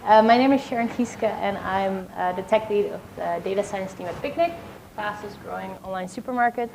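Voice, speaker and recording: A woman's voice: mid-range voice, a little fast, creaky, a little mellow, slight background noise, poor audio. A woman from the Netherlands speaking as a panel guest at a conference, upbeat and organized. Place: conference stage.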